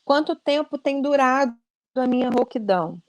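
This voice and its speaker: A woman with a high voice talks through voice care in an earnest, explanatory way. She speaks over a video call, and the sound is very dry. She often ends sentences with "né?" as she goes. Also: animated, normal speed, darkish tone, no room sound, dull audio. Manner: earnest and explanatory, many "né?" tags. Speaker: speech therapist guest.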